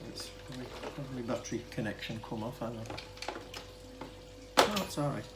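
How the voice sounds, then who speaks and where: A man talks through an informal hands-on demonstration in a mid-pitched voice. He is hesitant and rambling, often breaking off to correct himself. The amateur camera recording has clear background noise. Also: brisk. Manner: hesitant, rambling, self-interrupting explanation. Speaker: hobbyist demonstrator of vintage telephone equipment.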